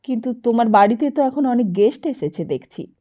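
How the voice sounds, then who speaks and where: A woman's voice: fairly high pitch, lively intonation, brisk, warm tone, highs cut off. A woman voicing a polite, reticent male family friend in an audiobook, courteous and a little hesitant. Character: polite, reticent man, a family friend taking his leave.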